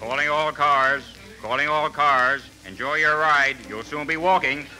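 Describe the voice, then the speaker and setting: Police radio dispatcher, official and clipped, heavy static-like noise, 1940s comedy broadcast. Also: male voice, a little high, fairly even intonation, a little slow. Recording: very noisy old 1940s radio recording.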